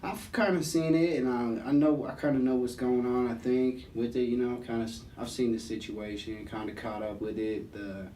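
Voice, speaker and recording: A man's voice: fairly even intonation, moderate pace, few pauses, warm tone. A man who is a guest rapper, talking loosely and rambling, with lots of fillers and slang. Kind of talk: casual conversation. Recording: echoey room, distant mic, faint rumble.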